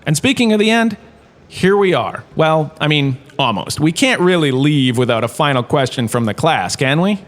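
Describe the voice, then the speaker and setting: Guy doing a chatty full-of-himself professor giving a funny sci-fi lecture, voice a bit high, theatrical. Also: lively intonation, moderate pace, a little hiss or hum, natural voice. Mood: wry, grandiose. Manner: theatrical, conversational, self-satisfied. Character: self-important, chatty male professor, washed-up holodrama star. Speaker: lecturer, host of the show. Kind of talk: comic in-universe lecture, audio drama.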